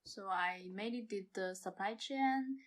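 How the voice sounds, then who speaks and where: A woman's voice: medium pitch, unhurried. Young woman with a Chinese accent. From China. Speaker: podcast guest, amateur theatre member. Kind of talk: casual chat.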